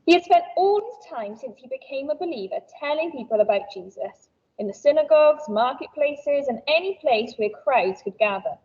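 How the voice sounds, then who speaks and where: Woman, teacher, encouraging, very animated pitch, lo-fi dull recording. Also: moderate pace, hardly any pauses. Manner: cheerful and encouraging, talks to children. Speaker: primary school teacher. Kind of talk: recorded school assembly for children. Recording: dull lo-fi sound, a bit distant.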